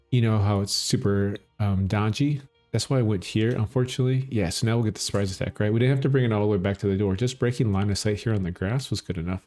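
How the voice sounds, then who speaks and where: A middle-aged man with a Minnesota accent, explaining a game in a relaxed, easygoing way. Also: on the low side. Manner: relaxed, explanatory, chuckles often. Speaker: gaming channel host. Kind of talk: casual gameplay commentary.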